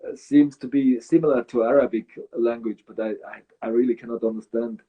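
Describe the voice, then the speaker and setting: A Swiss man with a Swiss German accent, speaking English as a guest in a casual interview, thoughtful and halting with many fillers. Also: mid-range voice, fairly even intonation, mellow, husky. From Switzerland. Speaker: guest breeder.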